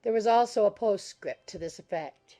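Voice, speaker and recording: A woman narrating a period novel as an audiobook, in measured, formal scripted prose. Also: mid-range voice, dark tone, faint music behind.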